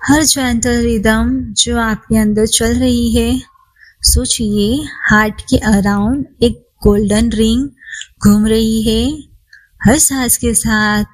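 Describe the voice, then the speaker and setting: A woman guides a meditation at a very slow pace. She sounds calm and soothing, leaving long, unhurried pauses as she instructs the listener to relax. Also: fairly high pitch, rough recording. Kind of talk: guided body scan meditation.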